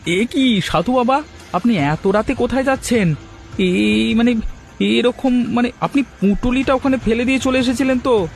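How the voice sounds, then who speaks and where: An actor voicing an excitable, humble village helper who talks hesitantly in a cartoon story. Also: male voice, extremely high pitch, moderate pace, vocal fry. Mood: suspicious, excited. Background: background music.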